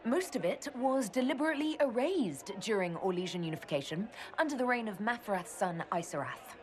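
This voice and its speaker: A woman's voice: fairly high pitch, animated, brisk, slight background noise, natural voice. An actress playing a curious, dryly witty woman leader who talks in a chatty, lightly teasing way.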